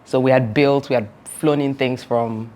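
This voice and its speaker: A woman's voice: rumbling low, fairly even intonation, normal speed, mellow. Nigerian woman telling her story with laughs. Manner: earnest, laughs often, many "like" and "you know" fillers. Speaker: founder and podcast guest. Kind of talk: reflective conversational storytelling.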